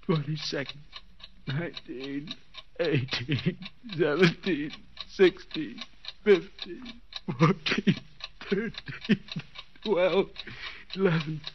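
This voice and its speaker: An actor playing a desperate, trapped man in 1940s radio suspense style, strained, halting and pleading. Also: fairly high pitch. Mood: dread, counting. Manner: halting, pleading, drawn-out words. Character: desperate bound man counting down his last seconds. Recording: dull, noisy old radio recording, ticking clock.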